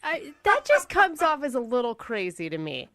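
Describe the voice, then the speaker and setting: A woman's voice: high-pitched, wildly swooping pitch, normal speed, a bit bright, slight background noise. A woman co-hosting a radio show with blunt, incredulous banter.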